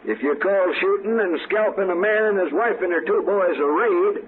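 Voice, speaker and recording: Actor as high-voiced bitter American frontier settler. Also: male voice, normal speed, phone-quality sound, close to an everyday voice. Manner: folksy, argumentative. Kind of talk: 1950s western radio drama acting. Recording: thin vintage radio recording, faint noise.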